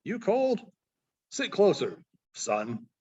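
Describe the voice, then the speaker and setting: A man reads the part of a gruff but kindly frontiersman in a storybook tale. He is plain-spoken and quietly commanding, with a varied, lively pitch. The recording sounds a touch dull. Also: takes it slowly, exaggerated voice.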